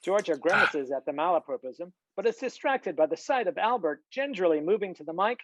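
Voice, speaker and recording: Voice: medium pitch, lively intonation, fairly quick, slight background noise. A narrator reading screenplay stage directions in a scripted style over a video call. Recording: slightly dull video call audio.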